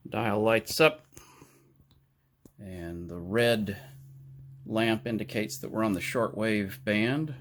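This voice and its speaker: An older man giving a casual, explanatory walkthrough in a halting way, pausing between phrases. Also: on the low side, a little hiss or hum. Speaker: hobbyist presenter restoring a vintage radio. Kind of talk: casual narrated walkthrough and demonstration.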